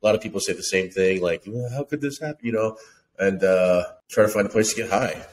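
A man's voice: on the low side, quick pace. A man in his thirties, congested and laid-back, chatting on a video call headset. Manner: laid-back, crude humor. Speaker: podcast co-host. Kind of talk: casual chat.

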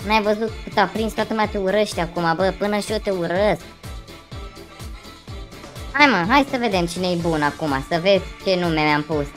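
Man putting on a high-pitched kid gamer voice. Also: soft background music. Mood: challenging. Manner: teasing, argumentative. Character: young kid gamer, a boastful bickering fan. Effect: voice changer. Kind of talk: casual gaming banter.

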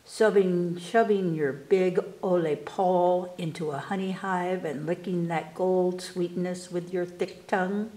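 A woman reading children's poems aloud at storytime, expressively and with careful, animated delivery for young listeners. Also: lowish voice, echoey room. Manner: animated, unhurried, enunciating for children. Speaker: library storytime reader. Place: indoors in a room, book filmed on table.

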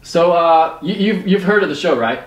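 A man's voice: fairly high pitch, expressive, normal speed. Young man, hesitant, in a roomy space. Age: young adult. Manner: hesitant, repeats phrases. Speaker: prank caller posing as a TV producer. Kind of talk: improvised prank phone call. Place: small bedroom, indoors. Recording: roomy, slightly distant microphone.

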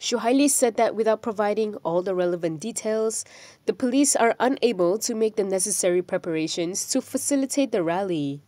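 A woman presenting the news in a scripted voice-over, with a measured, even delivery. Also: mid-range voice, no echo. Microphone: studio microphone.

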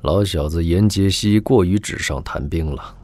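An actor playing a shrewd older warlord father in a wuxia audio drama, wry and earthy, with a husky voice. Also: deep voice, moderate pace, a little mellow, faint music behind, close to an everyday voice. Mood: wry amusement.

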